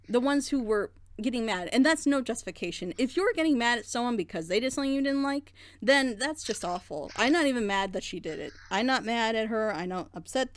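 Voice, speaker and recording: Higher-voiced woman ranting passionately. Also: dry recording. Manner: frustrated, rambling, emphatic. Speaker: reaction channel host. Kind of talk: passionate casual critique.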